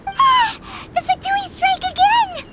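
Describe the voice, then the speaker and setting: A woman voicing a small, excitable, childlike fairy companion who talks perkily in a video game. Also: extremely high pitch, slow pace, heavy background noise. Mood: excited. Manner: speaks of herself in third person, perky.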